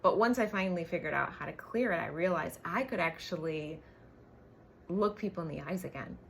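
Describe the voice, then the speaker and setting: A woman's voice: fairly low pitch, fairly quick, gravelly, a little hiss or hum. A woman in her forties talking candidly and emphatically in a personal storytelling vlog, like a coach speaking to her followers. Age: 40s. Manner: candid, emphatic, frequent tag questions and swearing. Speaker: business coach.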